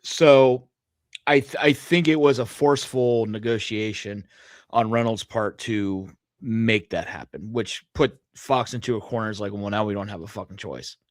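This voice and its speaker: A man's voice: lowish voice, no room sound, clean studio sound. A man co-hosting a podcast, speculating casually like a fan, often doubling back on phrases and swearing as he goes. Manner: halting, repeats phrases, profane. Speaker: podcast co-host.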